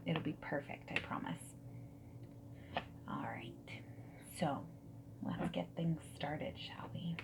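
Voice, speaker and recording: A woman playing a friendly, whimsical dating-service consultant in a gentle ASMR roleplay, soft-spoken and breathy, often slipping into a whisper. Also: sits low, level tone, voice pushed down, full character voice. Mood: welcoming. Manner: gentle, reassuring, chatty, unhurried. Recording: faint background hiss, keyboard typing. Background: mechanical keyboard typing.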